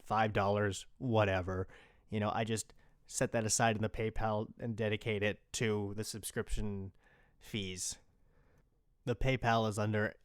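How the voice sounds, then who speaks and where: A man's voice: fairly low pitch, clean tone, no echo, studio quality. A man hosting a podcast, talking casually and off the cuff in a loose, rambling, informal way. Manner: informal, rambling, mildly profane. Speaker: podcast host and creator. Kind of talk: casual unscripted podcast talk.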